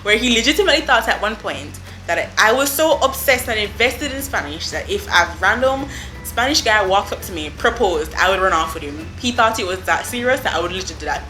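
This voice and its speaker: Young jamaican woman gushing through a storytime, bright voice, roomy echo. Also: mid-range voice, a little fast, soft background music. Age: young adult. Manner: effusive, animated, lots of "I mean" and "like". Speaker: vlogger. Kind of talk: casual storytime.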